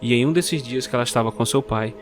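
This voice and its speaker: Man narrating a dark fairy tale. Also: mid-range voice. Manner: slow, dramatic storytelling. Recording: clear music bed under the voice.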